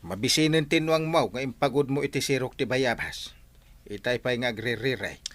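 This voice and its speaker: A man with an Ilocano accent playing a nosy, excitable village buddy in a comedy radio drama. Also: medium pitch, normal speed, no room sound, lightly acted. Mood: exasperated.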